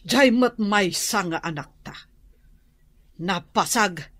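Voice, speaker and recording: An actress playing a fretful, nagging middle-aged rural wife in an Ilocano radio drama, speaking with an Ilocano accent. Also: female voice, medium pitch, lively intonation, slow pace, fairly bright tone, no room sound. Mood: worried, complaining.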